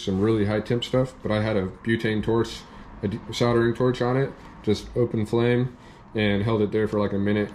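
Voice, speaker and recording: A young man in a workshop, calmly walking through a hands-on repair step by step, with plain practical talk. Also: lowish voice, a little hiss or hum. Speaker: off-road channel host demonstrating a repair. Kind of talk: hands-on how-to explanation. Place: indoor workshop at a workbench.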